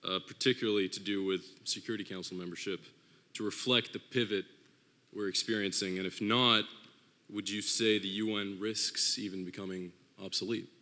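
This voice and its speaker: A man's voice: on the low side, fairly even intonation, moderate pace, bright, forceful tone. A young man from Switzerland, a student, asking a formal question politely with some hesitation. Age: young adult. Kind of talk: audience question. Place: university hall. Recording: reverberant hall.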